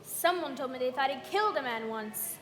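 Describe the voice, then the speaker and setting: Female socialite for live radio play; gossipy, sociable, animated, reverberant hall sound. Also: high-pitched, a little fast. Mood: breezy gossip. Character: breezy, gossipy socialite woman at a party.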